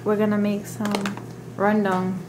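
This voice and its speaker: A woman chatting casually as a vlogger, with an upbeat, expressive manner. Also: medium pitch, measured pace, heavy background noise. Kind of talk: casual vlog chat.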